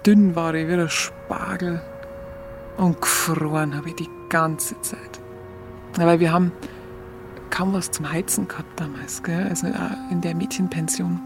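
Munich woman narrator for radio play: Bavarian accent, colloquial, confiding recollection, clear music bed. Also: fairly low pitch, steady tone, a little slow. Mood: reflective. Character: Munich woman candidly recalling her hungry youth in 1945.

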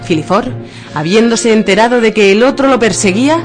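A woman narrating a radio drama in a dramatic, literary and deliberate style. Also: fairly high pitch. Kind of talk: theatrical scripted narration of absurdist radio fiction. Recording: music bed under the voice.

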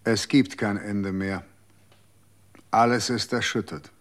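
An actor in a radio drama playing a calm, aloof former guardian of the dead who speaks curtly. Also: male voice, fairly low pitch, takes it slowly. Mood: cold, weary. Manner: calm, curt.

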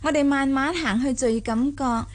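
A woman playing a shrewd, lively young mistress of a rich household in a Cantonese audio drama, chatting and explaining smoothly. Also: sits high, moderate pace, close to an everyday voice. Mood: bright, helpful.

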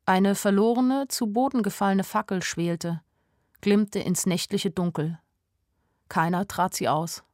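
A woman's voice: medium pitch, a little mellow, dry recording, lightly acted. An actress playing a naive, wryly observant young woman, narrating a radio drama in a dry, matter-of-fact way. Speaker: first-person narrator.

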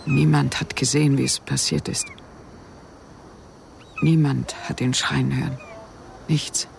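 Guarded, gravelly-voiced widow. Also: female voice, extremely low pitch, fairly even intonation, unhurried, darkish tone, a little hiss or hum, heavily acted. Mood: haunted. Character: guarded widowed woman, haunted by loss. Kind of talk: radio play acting.

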